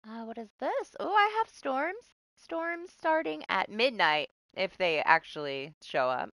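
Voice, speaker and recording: A young woman from Texas, chatting casually on a live game stream, laughing often and swearing, with a voice starting to go hoarse. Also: medium pitch, a bit bright, no echo. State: starting to lose her voice. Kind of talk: casual live-stream chat while playing.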